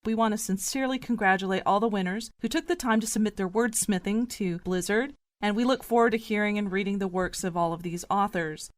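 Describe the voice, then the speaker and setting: A woman's voice: mid-range voice, brisk, hardly any pauses, dry recording. A woman presenting a roundtable podcast as its host.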